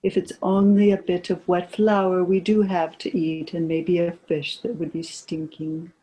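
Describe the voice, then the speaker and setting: A woman's voice: lowish voice, flat delivery, measured pace, warm tone, lightly acted, low-quality recording. A woman playing a grieving, weary old mother in a lyrical, lamenting stage drama performance. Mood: weary resignation.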